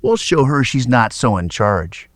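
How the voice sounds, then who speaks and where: A man voicing a mischievous, energetic young girl ghost in a children's storybook. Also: mid-range voice, measured pace, no room sound, studio quality. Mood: playful, mischievous. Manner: energetic, playful.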